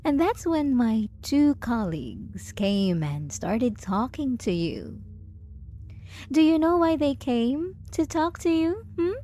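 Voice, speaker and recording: Woman performing as possessive dominant female lover, ASMR roleplay, unhurried, lilting intonation, teasing, condescending, doting. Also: sits high, big pitch swings, dark tone, soft background music, full character voice. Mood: teasing, controlled. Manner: slow, teasing, condescending pet-name cooing with deliberate pauses. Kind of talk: intimate ASMR audio roleplay.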